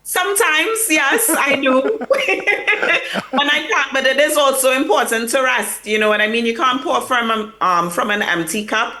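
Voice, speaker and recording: A woman's voice: high voice, measured pace, pushed and bright. A woman publicist answering interview questions over a remote link, earnest and reflective, with fillers and restarts. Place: hotel or room in New York, remote link.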